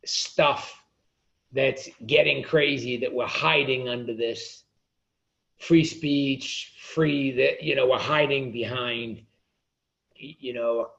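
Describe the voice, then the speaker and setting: A man's voice: medium pitch, fairly even intonation, slow pace, creaky, a bit bright, dull audio. A man being interviewed as an author, speaking indignantly and often restarting his sentences. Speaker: author and guest. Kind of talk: interview conversation.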